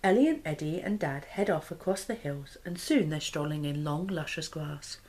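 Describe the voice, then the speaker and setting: A woman in her thirties reads a picture book aloud. Her voice sits on the lower side and moves up and down with lots of expression. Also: animated, normal speed, darkish tone. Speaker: mother and parenting vlogger. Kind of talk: expressive children's storybook reading. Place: small living room, indoors.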